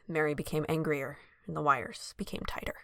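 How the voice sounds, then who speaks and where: A woman's voice: sits low, fairly even intonation, a little fast, no room sound, studio quality. A woman reading scripted story narration for an audio drama.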